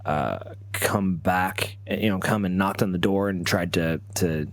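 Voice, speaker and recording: A man with a low voice plays a tabletop role-playing game, telling his character's story in the first person. He feels his way haltingly, doubling back on words. The sound is dry, with very little room to it. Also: no echo. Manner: halting, often repeating words.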